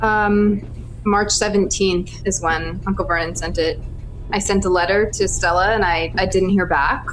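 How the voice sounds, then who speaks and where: A woman's voice: medium pitch, fairly even intonation, moderate pace, slight background noise, natural voice, poor audio. A woman voicing a worried young woman writer, hesitant and giggly, with music behind. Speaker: tabletop player.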